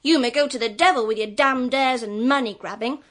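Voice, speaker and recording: A woman's voice: high-pitched, brisk, natural voice. An actress playing a blunt, boisterous, unladylike Victorian teenage girl in a period audio drama. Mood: brash, defiant. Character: brash, coarse-tongued tomboy teenage girl of the gentry.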